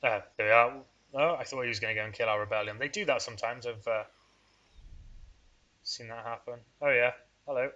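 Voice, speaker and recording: A man's voice: mid-range voice, fairly even intonation, a little fast, pauses often, sharp tone, highs cut off. A man hosting a strategy game let's play, rambling and thinking aloud in a casual, self-deprecating way. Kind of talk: casual gameplay commentary.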